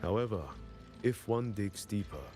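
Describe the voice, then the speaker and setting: An actor playing a grave, dignified commander of a besieged city in a video game, speaking formally with measured authority. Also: male voice, lowish voice, warm tone, soft background music, close to an everyday voice. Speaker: military commander character. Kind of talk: scripted video game dialogue.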